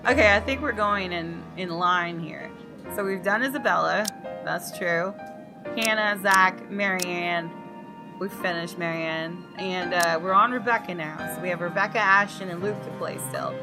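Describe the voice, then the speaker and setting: A woman's voice: fairly low pitch, normal speed. A woman reading visual novel text aloud and reacting casually and expressively as she plays. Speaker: gamer doing a visual novel let's play. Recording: music under the voice.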